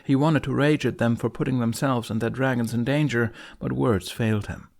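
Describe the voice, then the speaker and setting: A man's voice: medium pitch, steady tone, brisk, vocal fry, a little mellow. A man reading a fantasy audiobook as narrator, in dramatic scripted narration.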